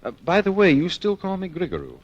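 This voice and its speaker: An actor playing a wry, smooth-talking male amateur sleuth in an old-time radio mystery, bantering and ironic. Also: high voice, wildly swooping pitch, fast talker, lo-fi sound, exaggerated voice. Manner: bantering, ironic, hesitating when flustered.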